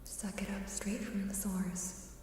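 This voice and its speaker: Woman, ASMR livestream chat, slow and mellow, soft whispering, playful and coy, deep reverb. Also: level tone. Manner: soft-spoken, often whispering. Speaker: ASMR live streamer. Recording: reverberant hall-like echo, faint hiss, distant.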